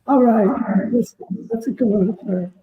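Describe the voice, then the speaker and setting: A man's voice: sits high, wildly swooping pitch, a little slow, slight background noise. Man hosting through thin, phone-like audio. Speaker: reverend co-hosting the service. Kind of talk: casual hosting chat. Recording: thin phone-like sound, roomy echo.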